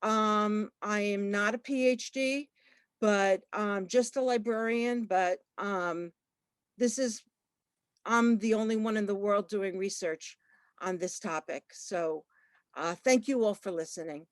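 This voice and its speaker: A woman librarian giving a talk at an online book launch, halting, with many fillers and restarts. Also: medium pitch, clear, resonant tone, dry recording, studio quality. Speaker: librarian and researcher. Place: online video call.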